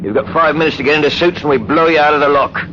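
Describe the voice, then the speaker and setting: A man's voice: on the high side, rapid-fire, lightly acted. An actor playing a sneering, sadistic crewman who taunts prisoners aboard a spaceship, in 1950s radio drama style. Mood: menacing relish. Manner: mocking, taunting. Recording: noisy, dull vintage radio recording.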